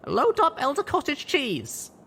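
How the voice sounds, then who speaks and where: Fiery high-pitched young mare heroine. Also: male voice, wildly swooping pitch, a little slow, bright, forceful tone, a little hiss or hum, heavily acted. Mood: commanding.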